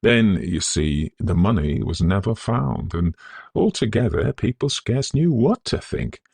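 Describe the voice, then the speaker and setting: Loyal manservant voiced by a male narrator, Victorian ghost story, grave, deferential, husky and close-recorded. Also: fairly low pitch, lively intonation, darkish tone, no room sound, pitched above the performer's own voice, exaggerated voice. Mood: anxious pleading. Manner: earnest, respectful, measured period phrasing. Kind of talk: dramatised audiobook ghost story.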